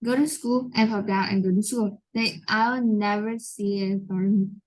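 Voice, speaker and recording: A young woman with a Vietnamese accent, an English learner speaking in halting sentences and searching for words. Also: mid-range voice, fairly even intonation, a little mellow, rough recording. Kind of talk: online English lesson conversation.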